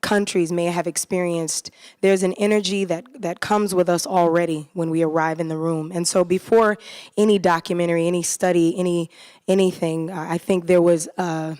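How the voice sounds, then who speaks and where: Earnest low-voiced woman on a theater stage. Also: steady tone, normal speed, darkish tone, no room sound, studio quality. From United States. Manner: earnest and heartfelt. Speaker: cast member in a post-show talkback. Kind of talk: post-show panel talk.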